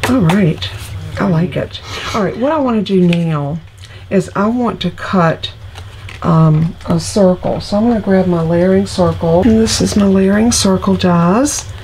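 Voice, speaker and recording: A woman's voice: mid-range voice, unhurried, darkish tone, a little hiss or hum, rough recording. A middle-aged woman, still congested from illness, chatting homily through each step of a card-making tutorial. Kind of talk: casual craft tutorial.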